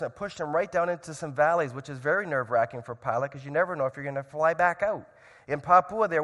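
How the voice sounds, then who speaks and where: A man speaking as a missionary at a church, telling his story earnestly and with growing excitement. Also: a little high, long reverb tail. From grew up in Indonesia. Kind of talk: church missionary talk with prayer.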